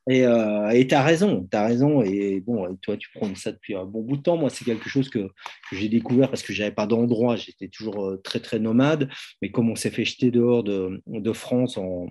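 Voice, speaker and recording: Man, casual talk, husky and warm tone, hesitant delivery. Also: on the low side, normal speed. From Belgium. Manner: hesitant, many fillers and restarts, thinking aloud. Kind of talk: casual conversation.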